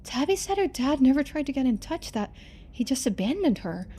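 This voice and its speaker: A woman's voice: high-pitched, very animated, fairly quick, warm tone, a little hiss or hum, pitched above the performer's own voice. A woman voicing a chatty, earnest young video maker from a story game, speaking casually and expressively. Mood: worried.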